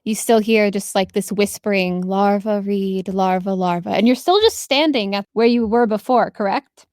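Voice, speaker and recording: A woman's voice: medium pitch, moderate pace, darkish tone, dry recording, studio quality. A woman improvising narration as a tabletop game master, descriptive and conversational.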